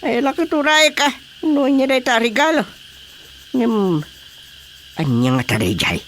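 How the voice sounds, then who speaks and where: An actor playing a proud, excitable older Filipino father and village chairman in comedic radio drama, with theatrical, exclamatory delivery. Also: extremely high pitch, measured pace, exaggerated voice. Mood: eager, demanding. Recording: faint background noise.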